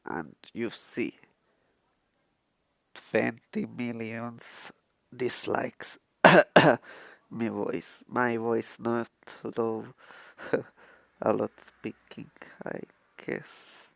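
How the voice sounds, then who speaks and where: A young man who is not a native English speaker, recording a rambling phone tutorial in halting broken English full of restarts, on a handheld microphone. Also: mid-range voice, steady tone, gravelly, a bit bright, no room sound. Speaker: tutorial vlogger. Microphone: handheld microphone he keeps touching.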